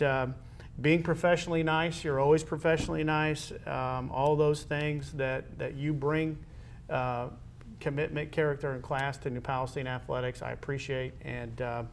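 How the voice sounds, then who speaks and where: A man with a voice a little higher than average hosts a casual interview. He builds his sentences haltingly, phrase by phrase, over faint background noise. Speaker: host of a school athletics talk show.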